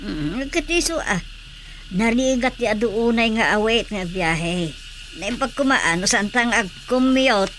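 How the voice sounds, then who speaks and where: An actress playing a rural wife in a radio drama, with an Ilocano accent. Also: female voice, fairly high pitch, expressive, a little slow, noisy background. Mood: conversational.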